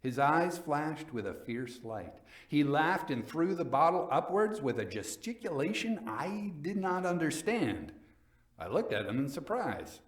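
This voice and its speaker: A male storyteller narrates in a slow, theatrical style. His mid-pitched voice moves expressively through the literary phrasing, with noticeable room echo. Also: big pitch swings. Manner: theatrical, measured.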